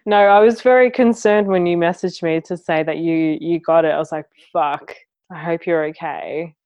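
A woman's voice: fairly low pitch, fairly even intonation, moderate pace, a little mellow, clean tone, no room sound. A woman from Australia, co-hosting a casual podcast chat, talking bluntly, swearing freely and laughing easily. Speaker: podcast co-host.

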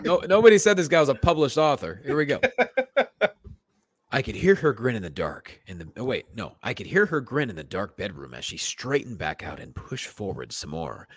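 A man's voice: mid-range voice, very animated, normal speed, slightly rough. A man co-hosting a comedy podcast, moving between casual banter and mock-dramatic reading. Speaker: podcast co-host.

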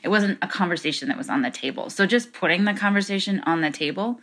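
An American woman speaking as a podcast guest, thoughtful and reflective, pausing to choose her words. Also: on the low side, fairly bright tone. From United States. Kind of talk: interview conversation.

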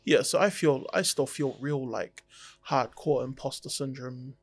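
A man's voice: medium pitch, unhurried, dry recording. A man, a tattoo artist whose first language is Tongan, talking in an easygoing, reflective way with jokey asides on a casual podcast.

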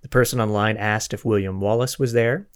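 A man's voice: on the low side, fairly even intonation, a little fast, clean tone. A man hosting a podcast, retelling a historical mystery in an informal, conversational way with joking asides and self-corrections. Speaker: podcast host telling a true crime case.

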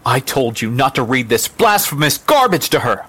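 A man's voice: on the low side, level tone, fast, fairly bright tone. A man voicing a stern, condescending lady of the house, an angry mother who gives commands. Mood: angry, scolding.

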